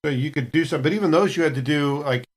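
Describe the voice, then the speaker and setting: A man's voice: on the high side, fast talker. A man talking casually as a player on a podcast, discussing things in an informal conversational way. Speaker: podcast cast member and player. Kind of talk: casual chat.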